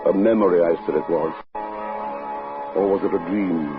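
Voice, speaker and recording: Low, ominous actor as a brooding radio narrator. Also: male voice, phone-quality sound, close to an everyday voice. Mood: haunted, ominous. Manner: formal, measured, ominous. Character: brooding, sinister man narrating his own dark tale. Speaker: narrator and lead character. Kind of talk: old-time radio drama acting and narration. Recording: band-limited vintage radio recording with music.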